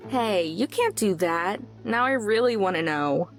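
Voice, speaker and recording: A woman's voice: mid-range voice, expressive, measured pace, soft background music, natural voice. A woman voicing a blunt, guarded young woman in a visual novel, with a dry, defensive manner. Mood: casual.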